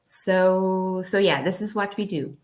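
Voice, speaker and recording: A woman's voice: mid-range voice, measured pace. A Portuguese woman speaking English as a guest in a casual interview, hesitant, with many fillers. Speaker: guest from a language exchange project.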